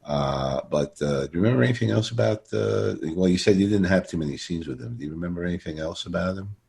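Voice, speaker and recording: A man hosting a podcast interview in an effusive, halting way, rephrasing his questions as he goes. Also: sits low, expressive, vocal fry, a little mellow. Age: middle-aged or older. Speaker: podcast host interviewing an actor. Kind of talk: enthusiastic, conversational interviewing.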